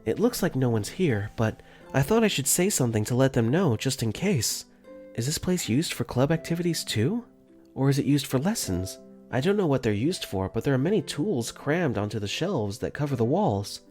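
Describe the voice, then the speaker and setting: A man's voice: mid-range voice, very animated, normal speed, darkish tone, soft background music. A man narrating a visual novel fan dub in first person, earnest and easily flustered.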